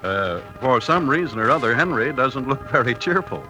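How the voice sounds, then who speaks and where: Old time radio announcer, 1930s, animated narration w music under it. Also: male voice, medium pitch, normal speed, rough recording. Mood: scene-setting. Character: scene-setting radio comedy announcer. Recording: vintage 1939 radio recording.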